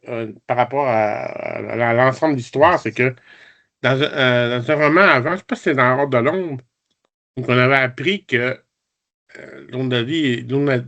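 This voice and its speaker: Bright-voiced Quebec man chatting. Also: mid-range voice, vocal fry. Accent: Quebec French. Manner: informal, colloquial. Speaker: podcast guest reviewer. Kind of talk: casual chat, review.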